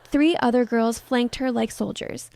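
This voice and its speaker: Female narrator for scripted story drama: higher pitch, very mellow tone. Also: steady tone, a little slow, gravelly.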